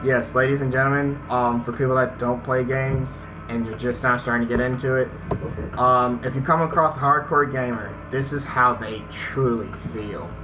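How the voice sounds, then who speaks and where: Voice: mid-range voice, steady tone, moderate pace, poor audio. Game host reading aloud over music. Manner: reads text aloud, stumbles on words, addresses viewers directly. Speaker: gaming video host reading a visual novel aloud. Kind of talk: let's-play narration with casual asides. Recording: music behind the voice. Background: visual novel game music.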